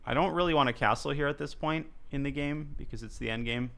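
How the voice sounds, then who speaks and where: A young man talks through his live game as he plays, mulling moves aloud and dropping playful jokes along the way. His voice is bright and forceful, with a middle pitch for a man. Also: fast, creaky. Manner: thinks aloud, jokey asides. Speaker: chess streamer. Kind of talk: live commentary while playing.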